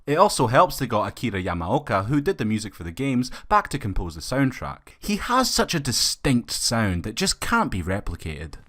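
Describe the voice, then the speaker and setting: A man's voice: mid-range voice, wildly swooping pitch, normal speed, few pauses, a bit bright. A man reading a scripted film review as a video reviewer, with opinionated, colloquial phrasing.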